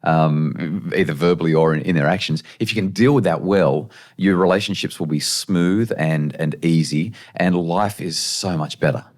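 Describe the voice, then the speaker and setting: An Australian man in his thirties, a parenting expert guest on a podcast, telling a story with lively acted-out quotes. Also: low-pitched, moderate pace, few pauses. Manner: animated storyteller, acts out quotes.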